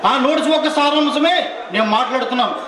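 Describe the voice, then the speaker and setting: Man acting a brash, high-pitched declaiming stage husband-to-be. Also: fast. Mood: opinionated, probing. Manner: declamatory, rhetorical list-making delivery. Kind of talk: Telugu stage play acting. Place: live theatre stage. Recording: echoey stage hall with noisy audience.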